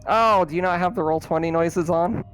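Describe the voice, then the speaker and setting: A man's voice: high-pitched, moderate pace, fairly bright tone, faint music behind, poor audio. A man casually narrating and refereeing a tabletop roleplaying game as game master. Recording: noticeable background noise.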